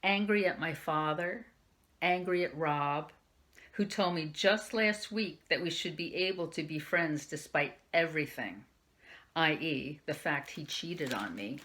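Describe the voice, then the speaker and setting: A woman's voice: low-pitched, lively intonation, measured pace, sharp tone, a little hiss or hum. A woman librarian reading a young adult novel aloud in the first person, lifting her voice for the quoted lines. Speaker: youth services librarian.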